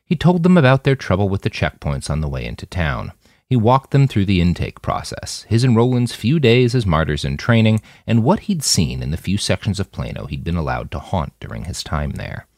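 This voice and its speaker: Deep-voiced, highly animated male storyteller. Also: fairly quick, dry recording, clean studio sound. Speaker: fiction podcast narrator reading a novel. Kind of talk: expressive storytelling narration with character dialogue.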